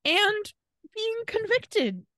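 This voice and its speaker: A woman's voice: very high-pitched, sing-song, drawn-out words, pushed and bright, no room sound, studio quality. An American woman co-hosting a casual podcast, telling stories in an irreverent, exasperated way with emphatic asides. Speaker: podcast co-host.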